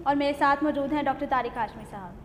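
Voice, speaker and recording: High-voiced woman hosting in an echoey room. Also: fast. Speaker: host of a literary talk show. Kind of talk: formal scripted introduction and questioning. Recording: echoey reverberant room, clear background noise.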